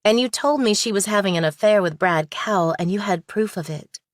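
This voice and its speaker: Woman playing a young female law student, skeptical, clipped, lawyerly, mid-pitched, audiobook thriller, dry sound. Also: fairly quick, no room sound, lightly acted. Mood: probing, accusing. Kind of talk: audiobook character dialogue.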